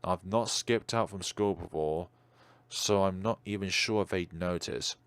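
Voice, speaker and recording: A man's voice: deep voice, measured pace, clear, resonant tone, no room sound. A man reading visual novel narration aloud dramatically, stumbling over words now and then and correcting himself. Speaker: video game streamer reading dialogue aloud. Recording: music behind the voice.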